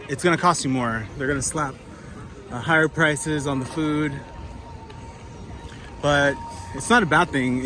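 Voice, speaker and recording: A man vlogging a walking tour outdoors on city streets. He is slightly hoarse from a cold and rambles casually, thinking aloud with lots of fillers. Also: medium pitch, fairly bright tone. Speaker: travel vlogger. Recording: street noise behind the voice.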